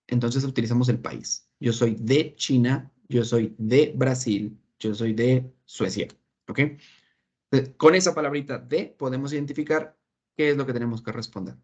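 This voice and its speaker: A man with a Mexican Spanish accent explains a lesson patiently to his class, often stopping to check that everyone follows. His voice sits at an ordinary pitch. The audio is muffled and lo-fi, like an online class. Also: a little mellow. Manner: patient, repeats points with frequent "¿ok?" checks. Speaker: English teacher.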